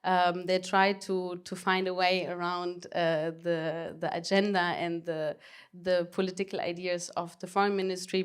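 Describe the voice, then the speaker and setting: Young German-accented woman for a panel talk, hesitant, lower-pitched, clear smooth tone. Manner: hesitant, many fillers and restarts. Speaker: panel speaker, arts project co-manager.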